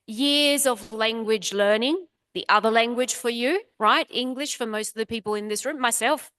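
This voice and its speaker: A woman's voice: a little high, normal speed, a bit bright, no echo. Frank woman. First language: Italian. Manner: frank, emphatic. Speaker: translation lecturer on a panel. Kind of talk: confident panel answer.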